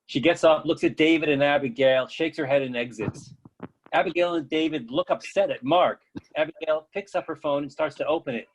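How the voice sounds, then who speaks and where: A man's voice: fairly high pitch, fairly quick, low-quality recording. A man taking part in a play reading, reading directions and chatting in plain words. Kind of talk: stage-direction reading and casual feedback.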